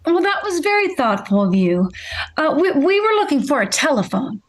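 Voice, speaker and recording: High-voiced, gracious mother woman acting. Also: big pitch swings, normal speed. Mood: polite, hesitant. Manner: polite, proper. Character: gracious middle-aged mother, polite and proper. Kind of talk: old-time radio drama acting.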